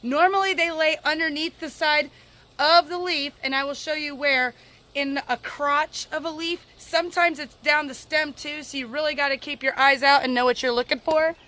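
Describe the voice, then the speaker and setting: A woman's voice: high-pitched, steady tone, moderate pace, bright, forceful tone. A woman with a cold and sore throat, giving a folksy, casual how-to talk as a gardening vlogger outdoors in her garden. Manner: folksy, informal, chatty.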